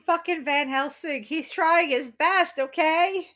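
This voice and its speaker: A woman's voice: high voice, slow, fairly bright tone. A woman co-hosting a podcast in casual chat, joking flippantly and swearing freely. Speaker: podcast co-host.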